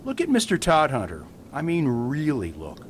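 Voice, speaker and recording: An actor playing a calm, playful priest detective in an amateur radio drama, patient and mild. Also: male voice, fairly high pitch, normal speed, a little hiss or hum. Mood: whimsical. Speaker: Father Brown.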